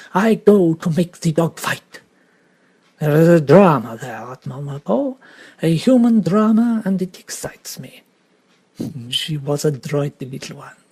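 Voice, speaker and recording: A man's voice: sits high, gravelly, mellow, husky, voice pushed up, full character voice. A man voicing a vain, clever little Belgian male detective, precise and theatrical, sometimes dropping to a whisper.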